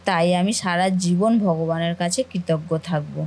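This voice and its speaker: A woman's voice: on the low side, steady tone, moderate pace, darkish tone. A woman voicing a grateful, devoted sister-in-law in a cartoon family melodrama, speaking with earnest sentiment. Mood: moved, grateful.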